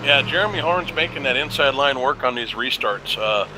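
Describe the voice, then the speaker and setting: A man's voice: mid-range voice, normal speed, background noise. Man giving race color commentary. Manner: relaxed analysis with hesitant fillers.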